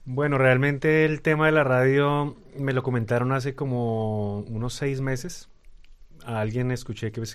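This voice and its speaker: Flat-voiced Colombian man. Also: mid-range voice. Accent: Colombian Spanish. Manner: hesitant, many fillers and restarts. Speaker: radio guest. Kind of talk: casual interview talk.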